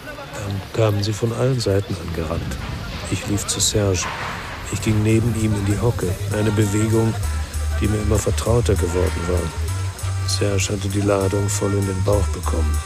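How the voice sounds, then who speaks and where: An actor playing a weary, bitter former policeman who narrates a noir crime radio drama in a measured, laconic way. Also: fairly low pitch, steady tone, music in the background, lightly acted. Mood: grim, reflective.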